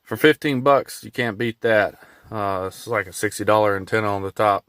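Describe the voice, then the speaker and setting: A man giving a casual, unscripted how-to vlog in a laid-back, folksy, halting way. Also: on the low side, fairly bright tone, clear, resonant tone, dry recording. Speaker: hobbyist vlogger showing his truck radio install. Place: in and around a pickup truck in a driveway.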